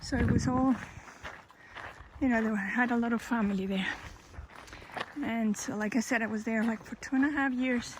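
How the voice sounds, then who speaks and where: A woman in her fifties from Colombia with a Colombian Spanish accent, slowly telling her life story, pausing and correcting herself. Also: a little high, fairly even intonation, low-quality recording. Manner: slow, thoughtful, with pauses and self-corrections. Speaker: hiker and vlogger. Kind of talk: personal life story, casual. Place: outdoors on a trail. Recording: outdoor recording with background noise.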